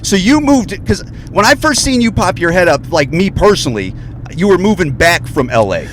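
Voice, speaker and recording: A man from Ohio hosting a casual podcast chat, excitable, swearing freely and saying "dude" constantly. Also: high voice, normal speed, slight background noise, rough recording. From Ohio, USA. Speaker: podcast host.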